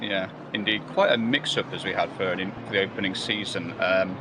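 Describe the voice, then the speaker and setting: A man's voice: low-pitched, steady tone, a little fast, noisy background. A man commentating a sim race live, rambling and hesitant, with many fillers and restarts. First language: not German or Belgian.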